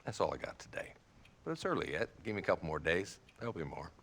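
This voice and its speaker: Grumbly old southern sheriff type from a cowboy game, real low voice, sarcastic, some noise behind. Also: male voice, very animated, fast talker, creaky, exaggerated voice. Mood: weary sarcasm. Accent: American Southern. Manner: dry, folksy, grumbling. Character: weary, sardonic old frontier town marshal. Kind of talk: Western video game acting.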